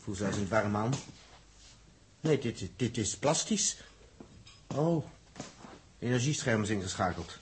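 A man's voice: fairly low pitch, lively intonation, takes it slowly, pauses often. An actor playing a baffled, jumpy young spaceman in a 1960s radio drama, speaking in clipped, agitated phrases. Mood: puzzled, busy. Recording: noisy 1960s radio recording.

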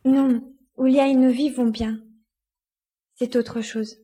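Young girl, impulsive sci-fi adventurer played by a woman: high, slightly husky voice, urgent and exclamatory. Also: fairly even intonation, slow pace, warm tone, heavily acted. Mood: earnest. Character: Chara, anxious, impulsive young girl in science-fiction adventure. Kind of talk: audio drama acting.

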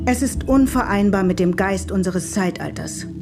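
Cool irritable mother, formal lecturer, measured didactic phrasing, mid-pitched, radio play, music behind. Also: lively intonation, creaky. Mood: cool, disapproving. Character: Vashti, detached, irritable mother and lecturer in machine-run future. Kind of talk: German radio play acting.

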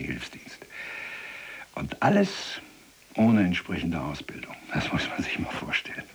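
Husky, deep-voiced Berlin ex-policeman. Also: full character voice. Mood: indignant. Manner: colloquial, rambling storytelling. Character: gruff German ex-policeman prisoner, chatty, indignant, plain-spoken. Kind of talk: radio drama dialogue.

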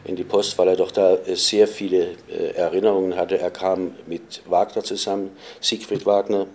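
A man's voice: sits low, flat delivery, normal speed, darkish tone. A man who owns a hotel, speaking in an interview and hesitating often with fillers. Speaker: hotel owner.

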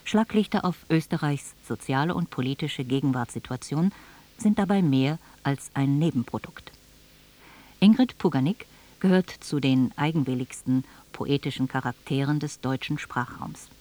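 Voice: mid-range voice, moderate pace, a little mellow. A radio presenter reading a scripted introduction in a formal, literary manner.

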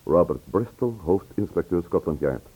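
A man acting in a Dutch radio play as a composed, probing gentleman investigator who speaks with measured care. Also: sits low, lively intonation, slightly rough. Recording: muffled narrow-band old radio recording.

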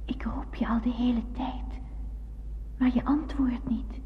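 A high, hushed female voice in an old, dull-sounding radio play. An actress plays a lonely woman who speaks softly and intimately, searching with breathy questions. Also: steady tone, unhurried, in a whisper, lightly acted. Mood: wistful, probing. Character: lonely woman in imagined dialogue, soft, searching.

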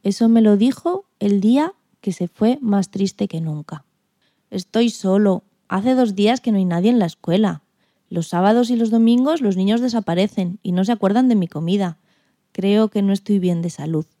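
A woman's voice: medium pitch, moderate pace, no room sound, studio quality, lightly acted. A woman playing an indignant, timid male guinea pig who tells his story expressively in a children's radio play. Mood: forlorn. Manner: expressive, exclamatory, plaintive.

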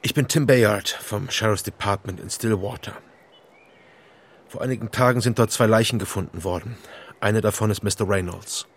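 A man playing an earnest, level-headed sheriff's detective in a German audio drama, speaking in a measured, matter-of-fact way. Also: on the low side, fairly even intonation. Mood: serious, considerate.

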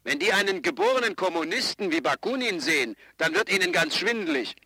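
An actor playing a mocking, excitable anarchist in a period radio drama, sardonic and animated. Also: male voice, sits high, fairly quick, gravelly, husky, no room sound, full character voice. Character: mocking, excitable anarchist comrade, 19th century. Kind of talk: 1970s German radio drama acting.

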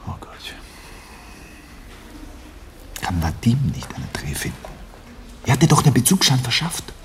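An actor playing a distressed lover in an intimate radio drama, speaking in a worried, breathy half-whisper. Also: male voice, medium pitch, very animated, unhurried. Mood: anxious. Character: distressed American male lover, hushed and worried.

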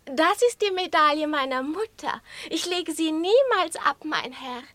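A woman's voice: high voice, lively intonation, measured pace, fairly bright tone, no echo. A woman playing a tiny, vain, coquettish young female lapdog in a radio play, with an affected, boastful, coy manner. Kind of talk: German radio play acting.

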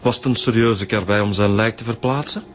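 An actor playing a probing detective with a Flemish accent in a radio drama. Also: male voice, lowish voice, a little fast, a bit bright, soft background music, lightly acted. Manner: probing questions. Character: Rudi Dams, questioning detective.

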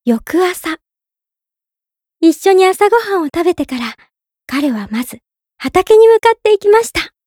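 A woman playing a gentle, polite young woman in love, narrating in character for a drama CD with sweet earnestness. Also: extremely high pitch, very animated, measured pace, long pauses, no echo, clean studio sound, heavily acted. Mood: fond, serene.

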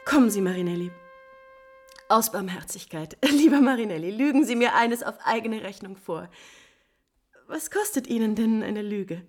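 A woman's voice: mid-range voice, moderate pace, faint music behind, close to an everyday voice. A woman playing a proud, scorned countess in 1950s German radio drama, bitterly mocking and agitated, moving between whispers and outcries. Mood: mocking urgency. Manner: theatrical, swinging from whispers to outcries.

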